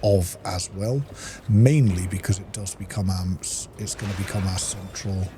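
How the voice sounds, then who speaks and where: Low-voiced man narrating his gameplay. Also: steady tone, moderate pace, over music. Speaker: gaming let's-play host. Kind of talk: casual gameplay commentary.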